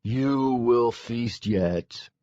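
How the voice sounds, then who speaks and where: A man's voice: mid-range voice, drawn-out words, warm tone, no room sound, muffled recording, voice pushed up. A man voicing a huge, menacing fae lord who speaks in a bored drawl.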